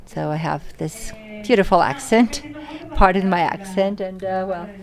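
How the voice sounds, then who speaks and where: A woman interpreting audience questions live at an academic symposium. She speaks in short, halting phrases and often corrects herself mid-sentence. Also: mid-range voice, darkish tone. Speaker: simultaneous interpreter.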